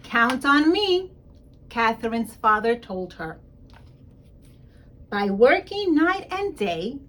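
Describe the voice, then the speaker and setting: A woman with a fairly high voice reads a picture book to children. Her pitch swings in a lively way and she takes her time at a slow pace. She stresses key words and talks straight to her young listeners. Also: slight background noise. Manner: emphatic, addresses young listeners directly, explains words. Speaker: school principal reading a picture book to children. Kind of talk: expressive children's story read-aloud.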